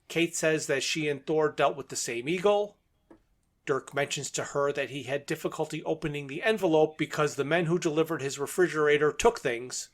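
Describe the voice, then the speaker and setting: A man's voice: fairly high pitch, fairly bright tone. A man co-hosting a podcast, recapping a plot in a methodical, matter-of-fact way. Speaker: podcast co-host.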